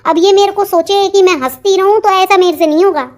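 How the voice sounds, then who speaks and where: A woman acting a sulky, high-pitched young cartoon bride. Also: a little fast. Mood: defiant. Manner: petulant, back-talking. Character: sulky, defiant young bride forced into marriage. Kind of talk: melodramatic Hindi cartoon dialogue.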